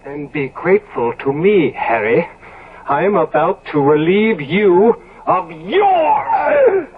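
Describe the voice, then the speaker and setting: An actor playing an eternally youthful, corrupted and bitter gentleman killer in 1970s radio drama, with a theatrical, deliberate, sardonic delivery. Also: sits high, huge pitch swings, exaggerated voice. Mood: cold menace. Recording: dull vintage radio recording.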